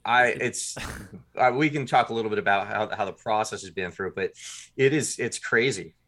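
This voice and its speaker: A man's voice: on the low side, moderate pace, fairly bright tone. A man guest on a podcast, a writer and producer, chatting casually and rambling with many fillers and restarts.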